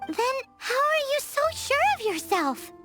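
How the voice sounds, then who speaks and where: A woman voicing a tiny, excitable, childlike fairy companion in a video game dub, over game music. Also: heavily acted. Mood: curious. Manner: squeaky, excitable, quick to exclaim. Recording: game soundtrack under the voice.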